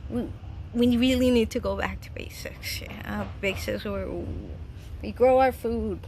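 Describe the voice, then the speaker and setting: A woman's voice: fairly high pitch, fairly even intonation, unhurried, creaky, a little mellow, a little hiss or hum. A woman in her thirties from Chile, giving casual, earnest life advice in a home vlog, chatty and laughing often. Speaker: vlogger. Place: home garden.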